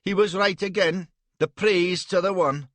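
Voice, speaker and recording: A man voicing a burly male warrior with a Scottish accent and a gruff, growling manner. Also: high voice, a little slow, a bit bright, dry recording, voice pushed up. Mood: urgent.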